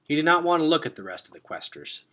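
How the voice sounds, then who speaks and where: A man reading a children's novel aloud with expressive, dramatic emphasis, sometimes hushed. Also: a little high, fast talker. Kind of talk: expressive storybook read-aloud.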